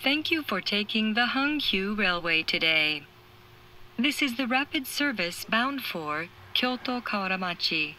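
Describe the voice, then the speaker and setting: A woman reading formal, measured scripted train announcements in clearly separated phrases through an onboard speaker. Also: medium pitch, lively intonation, pushed and bright, slight background noise. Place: recorded inside a train carriage.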